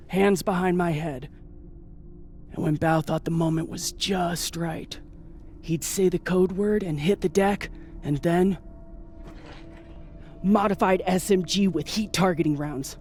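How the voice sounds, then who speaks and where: A man's voice: high voice, fairly even intonation, unhurried, a little mellow. A man playing a haunted, hardened space pilot in a video game, terse, wry and profane. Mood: matter-of-fact. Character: hardened, haunted, foul-mouthed space pilot grieving a husband. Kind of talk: dramatic video game dialogue.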